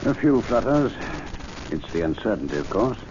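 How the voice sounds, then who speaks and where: Low-voiced, wry club gentleman. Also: very animated, normal speed. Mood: wry, edgy. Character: composed, wry gentlemen's club member, grave and measured. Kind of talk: old-time radio drama acting. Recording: dull lo-fi radio recording with hiss.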